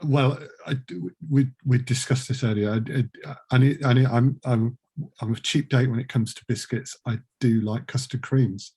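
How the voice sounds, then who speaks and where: A man's voice: medium pitch, steady tone, normal speed, a little mellow, husky. A middle-aged man hosting a casual podcast chat in a dry, joking, mock-formal manner. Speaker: podcast producer and host.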